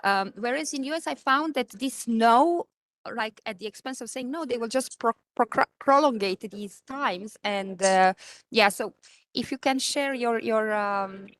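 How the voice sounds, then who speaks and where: A woman from Europe speaking conversationally as a webinar participant, halting as she searches for words. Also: fairly high pitch, fairly bright tone, dry recording. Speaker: webinar participant asking a question. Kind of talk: hesitant conversational question.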